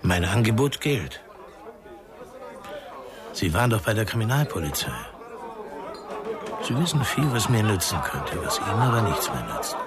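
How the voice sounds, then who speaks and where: A man's voice: fairly low pitch, big pitch swings, normal speed, long pauses. A man playing a seedy, insinuating hanger-on in a crime radio play, needling and slyly familiar. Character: seedy, insinuating male hanger-on shadowing a drinker. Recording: crowd noise behind the voice.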